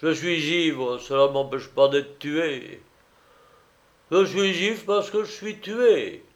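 A man's voice: on the high side, takes it slowly, voice pushed up. A man voicing a drunken, maudlin master of a house in an audiobook story, effusive and mock-dignified, with thick slurred consonants. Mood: maudlin reproach.

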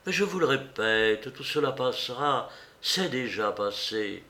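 Man voicing a meek young woman, slow and creaky. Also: medium pitch, animated, sharp tone. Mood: resigned. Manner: faltering, subdued. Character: meek, sorrowful young woman, gentle and pleading. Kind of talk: dramatised audiobook reading.

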